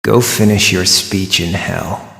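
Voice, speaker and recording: A man voicing a cocky, bitter young man in a dramatic video game scene, speaking deliberately and tauntingly. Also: low voice, fairly even intonation, vocal fry, a bit bright, slight background noise, exaggerated voice. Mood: cold defiance. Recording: echoey, long cavernous reverb.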